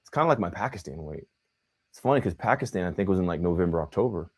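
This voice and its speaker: Young male host, loose slangy livestream banter, lively pitch swings. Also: quick pace. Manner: animated, slangy, says bro and man a lot. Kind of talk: casual livestream chat.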